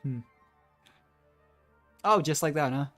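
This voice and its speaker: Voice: mid-range voice, animated, slow, a bit bright. A streamer chats casually while playing a game. The talk is musing and often trails off mid-thought. Faint music plays behind the voice. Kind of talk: casual live game commentary.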